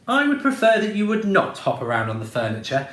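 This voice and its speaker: A man's voice: sits high, huge pitch swings, fairly quick, fairly bright tone, roomy sound, pitched above the performer's own voice, exaggerated voice. A man reading a storybook aloud, voicing a fed-up young princess who nags and complains. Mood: exasperated. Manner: nagging, complaining.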